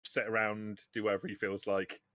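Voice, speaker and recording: A man's voice: on the low side, flat delivery, a little fast, a crowd in the background. A man acting as game master, talking casually as he runs a tabletop roleplaying game.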